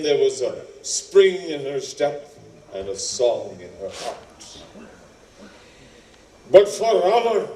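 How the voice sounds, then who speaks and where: A man acting as a solemn storyteller, narrating an epic on stage in a measured, dramatic way. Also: mid-range voice, darkish tone. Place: indoor theatre stage, distant microphone. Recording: echoey stage recording from far off.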